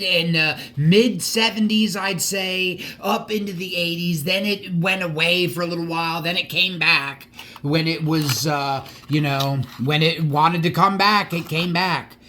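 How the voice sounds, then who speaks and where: A man's voice: sits high, sharp tone. A man presenting his model car collection in a casual vlog, enthusiastic, with frequent restarts and a slight stutter. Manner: halting, repeats words and restarts often; stutters at times. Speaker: collector showing diecast models.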